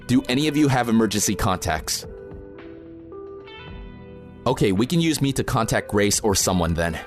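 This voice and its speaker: A man's voice: lowish voice, animated, moderate pace, soft background music, close to an everyday voice. A man playing an experienced, protective protest organizer in an audio drama, plain-spoken, informal and matter-of-fact. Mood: practical, cautionary. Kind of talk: naturalistic audio drama acting.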